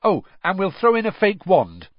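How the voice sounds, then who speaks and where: A man's voice: high voice, wildly swooping pitch, normal speed, no room sound, highs cut off, voice pushed up, exaggerated voice. A man voicing a cocky, cheeky teenage boy wizard in a storybook, brash in manner. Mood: cocky, eager. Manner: brash, dismissive.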